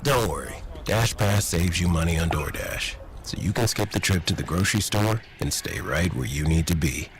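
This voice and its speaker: A man's voice: deep voice, animated, normal speed, noisy background. A man reading a scripted commercial voice-over as an announcer.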